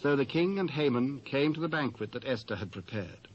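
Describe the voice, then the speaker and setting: A man with a British accent reading scripture as a measured, formal narrator. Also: medium pitch, animated. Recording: dry, dull old cassette transfer.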